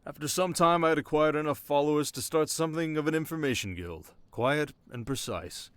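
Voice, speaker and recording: An actor playing a sharp, haunted young king in an intimate ASMR romance roleplay, talking wryly and dramatically to his listener. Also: on the high side, fairly quick, clean tone, no echo, natural voice. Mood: bitter reminiscing. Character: young desert king, sharp, wry, haunted, teasingly tender.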